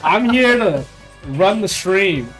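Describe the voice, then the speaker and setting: A man's voice: high-pitched, animated, slow pace, a bit bright, soft background music. A man running the stream, answering questions bluntly and dryly. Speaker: stream operator.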